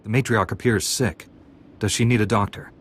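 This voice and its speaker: An actor playing a confident, earnest young warrior apprentice in a video game, assured and direct. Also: male voice, lowish voice, fairly even intonation, moderate pace, lightly acted. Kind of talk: video game dialogue acting.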